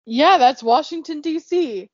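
American woman, bright higher voice, teasing banter, dry dull lo-fi sound. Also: measured pace, no room sound. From United States. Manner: teasing, matter-of-fact, laughs often. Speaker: podcast co-host. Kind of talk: casual chat.

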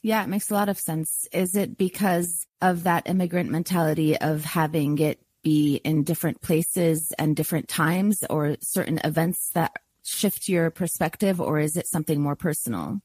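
A woman with a fairly low voice hosts a conversational podcast interview. She speaks as the interviewer, and the sound is very dry, with no room around her. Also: normal speed, hardly any pauses, a little mellow, husky, no echo. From United States and Syria. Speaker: podcast host.

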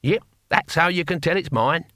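A man voicing a scrawny old barbarian warrior in an audiobook, gruff, sarcastic and cackling, with rough colloquial speech. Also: a little high, animated, moderate pace, sharp tone, no room sound, studio quality, voice pushed up. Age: older. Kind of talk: audiobook character voice.

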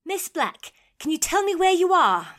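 A woman's voice: very high-pitched, very animated, moderate pace, a bit bright, exaggerated voice. A woman playing a polite, clever, slightly hesitant helper in an audio drama, formal and careful in speech. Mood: guiding.

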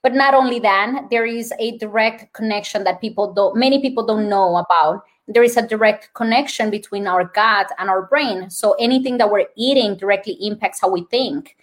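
A woman's voice: mid-range voice, normal speed, few pauses. A woman with a Colombian accent, a nurse and wellness speaker, explaining her ideas earnestly in a podcast interview. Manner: earnest, explanatory, lists points.

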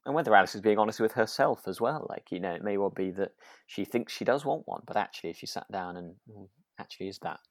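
A man's voice: on the low side, animated, fast, dry recording, studio quality. A man co-hosting a podcast, chatting casually and rather tentatively about a radio drama. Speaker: podcast co-host.

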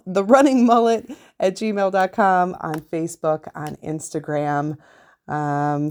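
A woman's voice: on the low side, a little mellow. A woman with an American accent, a podcast host reading a written story aloud.

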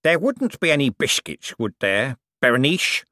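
A man's voice: medium pitch, sing-song, moderate pace, sharp tone, no echo, clean studio sound, voice pushed up. A man voicing an oily elderly con man posing as a reverend, speaking in a pious, unctuous way. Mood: smarmy.

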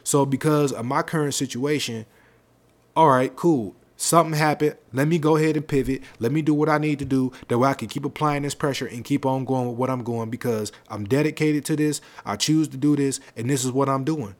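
Male podcast host, deep projected voice, casual slangy rambling delivery, close mic. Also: fairly even intonation, a little fast. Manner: loose, rambling, conversational with slang. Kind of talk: casual solo podcast talk. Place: home setup, seated on a leather seat. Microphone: close podcast microphone.

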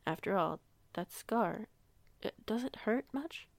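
Pack alpha played by a woman: calm, generous female werewolf, gravelly and close-miked dry, measured formal phrasing for audio drama. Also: medium pitch, no echo. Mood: modest. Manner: measured, composed, slightly formal. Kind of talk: audio roleplay acting, measured dialogue.

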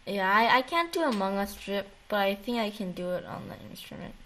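A woman's voice: mid-range voice, animated, a little fast, fairly bright tone. A woman chatting casually, retelling things in a rambling, slangy, jokey way. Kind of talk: casual chat.

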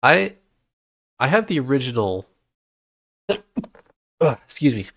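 A man's voice: medium pitch, slow pace, gravelly, dry recording, studio quality. A man hosting a casual podcast chat, leading the talk and handing out turns, sounding a bit nasal from a cold. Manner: relaxed, leads the talk and hands out turns. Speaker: podcast host.

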